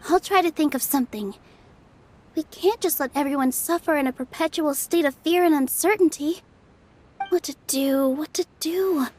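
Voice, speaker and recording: A woman voicing a gentle, earnest young dancer in an anime game, thoughtful and soft in manner. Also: high-pitched, normal speed, lightly acted. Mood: pondering.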